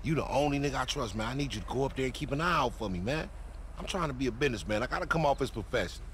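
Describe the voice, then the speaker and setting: A man's voice: mid-range voice, expressive, racing, fairly bright tone, a little hiss or hum, lightly acted. A man playing a boastful, foul-mouthed young hustler in a video game cutscene, with an American accent and slangy, cajoling banter. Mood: pleading, upbeat.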